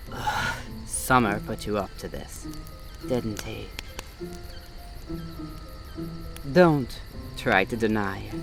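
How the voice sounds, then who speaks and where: A man playing a wary fae guard of the Autumn Court, stern but soft-hearted, in a roleplay audio drama spoken straight to the listener in an accusing, wry manner. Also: on the low side, vocal fry. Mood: suspicious, stern.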